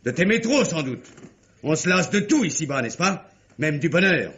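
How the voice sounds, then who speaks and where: An actor playing a betrayed, vengeful husband in a French radio melodrama, theatrical and sarcastic in delivery. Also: male voice, fairly high pitch, big pitch swings, moderate pace, fairly bright tone. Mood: bitter sarcasm. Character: betrayed bourgeois husband, bitter, jealous, vengeful. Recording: dull old radio drama recording.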